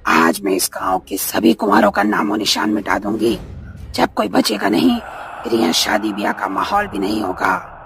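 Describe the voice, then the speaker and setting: A man voicing a cruel, bloodthirsty female witch in a cartoon horror story, taunting and gravelly. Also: lowish voice, wildly swooping pitch, normal speed, fairly bright tone, a little hiss or hum, full character voice. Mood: menacing. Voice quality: gravelly, cackling. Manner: taunting, menacing, jeering.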